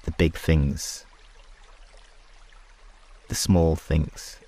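Very deep, extremely slow man's voice. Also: little pitch movement. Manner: hushed, unhurried, long pauses between short phrases. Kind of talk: slow guided sleep meditation and prayer.

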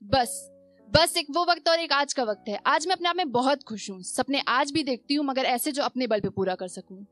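Young woman, high voice, spoken word poem, stand mic on a small stage, repeated lines, faint music behind. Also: animated. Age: young adult. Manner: dramatic repetitions, rising on questions, soft slow refrains. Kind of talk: spoken word poetry performance. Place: small stage in a performance venue.

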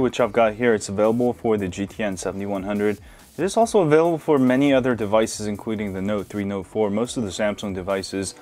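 A man hosting a casual hands-on phone review, relaxed and full of filler words, recorded indoors at a desk. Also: lowish voice. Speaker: tech video host.

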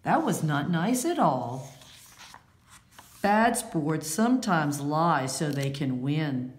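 Slow, low-voiced woman reading to children. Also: very animated, reverberant. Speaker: read-aloud storyteller. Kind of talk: children's picture-book read-aloud with commentary.

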